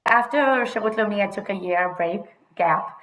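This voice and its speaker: A woman's voice: mid-range voice, moderate pace, a bit bright. Woman, 30s, from Israel; chatty interview guest, echoey room sound. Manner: chatty, mixes in Hebrew words, laughs often. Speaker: guest, HR consultant. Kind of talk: casual interview conversation.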